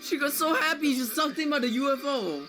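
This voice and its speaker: A man giving casual, excitable live commentary on a game he is speedrunning, prone to exclamations. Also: very high voice, a little fast, faint music behind.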